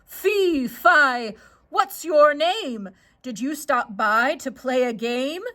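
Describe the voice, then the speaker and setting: A woman voicing a huge, hungry female giant from a children's storybook, chanting in rhymes with a gruff, booming delivery. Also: animated, takes it slowly, sharp tone, full character voice. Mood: menacing, teasing.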